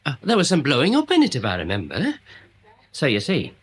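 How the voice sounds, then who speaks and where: An actor playing a pompous, long-winded small-town amateur theorist in a British radio drama, with an English accent and wordy, ponderous manner. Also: male voice, fairly high pitch, huge pitch swings, fairly quick, fairly bright tone, slightly rough, exaggerated voice. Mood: earnest theorising. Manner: wordy, ponderous, self-important. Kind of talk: British radio comedy-mystery drama acting.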